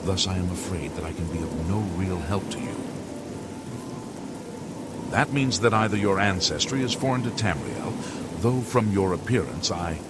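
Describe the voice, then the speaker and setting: A man's voice: deep voice, expressive, moderate pace. A man playing a curt, officious imperial official in a fantasy game, speaking formally and precisely, as if reluctant to advise. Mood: dry, reluctant. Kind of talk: video game character dialogue. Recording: loud rain and thunder noise. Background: rain and thunderstorm.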